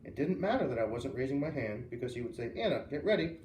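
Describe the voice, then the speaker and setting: A man's voice: mid-range voice, lively intonation, fast talker, mellow. A man reading a children's novel aloud as a storyteller, expressively acting out the dialogue. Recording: roomy, distant mic with faint hiss.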